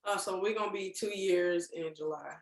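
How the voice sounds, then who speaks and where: A woman in her thirties, a podcast guest, talking in a relaxed and chatty way. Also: fairly low pitch, moderate pace, roomy sound. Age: 30s. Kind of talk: casual chat.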